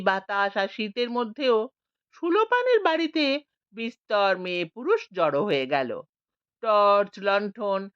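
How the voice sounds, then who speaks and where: A woman narrating an audiobook story in a slow, expressive reading. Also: medium pitch, no echo. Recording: dull lo-fi dry recording.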